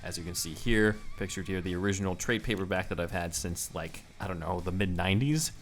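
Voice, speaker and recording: Chatty male podcast host, lowish voice. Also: a little fast, faint music behind. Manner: enthusiastic, chatty. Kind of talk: casual podcast chat.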